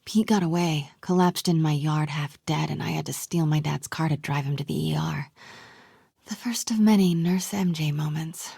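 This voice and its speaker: An actress playing a brave, quick-witted young woman reporter in a video game, with an American accent, a breathy, husky voice and a wry, conversational, often hushed delivery. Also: deep voice, no echo, studio quality, full character voice. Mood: wry, musing. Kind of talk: naturalistic video game voice acting.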